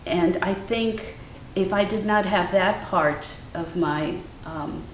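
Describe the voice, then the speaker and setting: An older woman, a guest artist whose first language was Ukrainian, talking in a thoughtful, measured way with many fillers. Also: on the low side. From Cleveland, Ohio, US. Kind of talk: casual interview conversation. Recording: echoey room from far off, faint noise.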